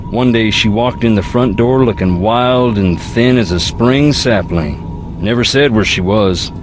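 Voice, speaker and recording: An actor playing a brooding, philosophical young ghost hunter in a video game, with a Southern US accent and a wry, folksy drawl. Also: male voice, fairly low pitch, close to an everyday voice. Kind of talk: video game character dialogue.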